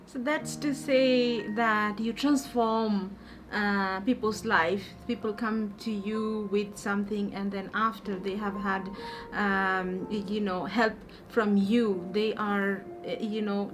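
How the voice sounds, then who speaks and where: Slow, hesitant woman interviewer. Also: mid-range voice, steady tone, noisy background. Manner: hesitant, many fillers and restarts. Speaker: interviewer, life coaching host. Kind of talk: hosted interview. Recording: music playing behind.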